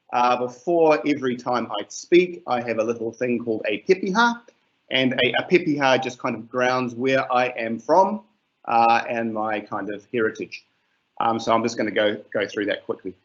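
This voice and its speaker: A middle-aged man with a New Zealand accent, a teacher giving a casual webinar presentation with many fillers. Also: mid-range voice. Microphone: video call. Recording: muffled online call audio.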